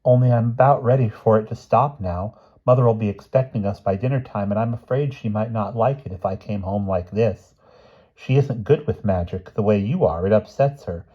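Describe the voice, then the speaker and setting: A man's voice: on the low side, moderate pace, slightly rough, dull audio. A man voicing an earnest, chatty young girl in a storybook, with eager, artless phrasing.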